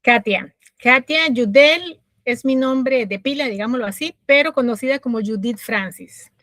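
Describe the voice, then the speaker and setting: A middle-aged woman from Costa Rica with a Costa Rican accent, a guest telling her life story in a reflective way with many pauses and fillers. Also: fairly high pitch, a bit bright, no echo. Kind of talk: personal story interview.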